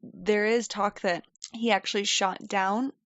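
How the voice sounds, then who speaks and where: A woman's voice: medium pitch, steady tone, unhurried, fairly bright tone, dry recording, lo-fi sound. A woman co-hosting a podcast, telling a story in a chatty, rambling way and laughing often. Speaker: podcast co-host. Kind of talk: casual storytelling chat. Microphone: desk microphone.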